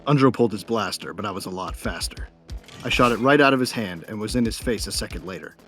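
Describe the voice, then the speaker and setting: A man's voice: lowish voice, animated, quick pace, fairly bright tone, soft background music. A man playing a cocky, wry bounty hunter who narrates his own story in an audio drama. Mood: cocky, vicious. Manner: wry, self-satisfied. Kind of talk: first-person dramatic narration.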